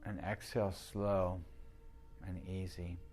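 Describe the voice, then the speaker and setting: A man with a fairly low voice leads a guided meditation. He speaks soothingly and without hurry, leaving long pauses between his instructions like a practised teacher. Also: flat delivery, darkish tone, slight background noise.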